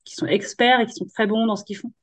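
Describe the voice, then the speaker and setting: A woman hosting a podcast and coaching women, talking in a relaxed, chatty way with slang and advice. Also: medium pitch, fast talker, dry recording. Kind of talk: casual podcast conversation.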